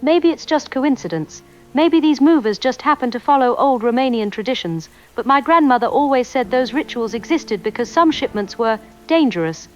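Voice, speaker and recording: Radio drama caller played by a woman: uneasy, earnest, hesitant, somewhat high, muffled phone sound with faint music. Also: animated, moderate pace. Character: uneasy woman caller recalling grandmother's old-country warnings. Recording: dull band-limited phone-in sound.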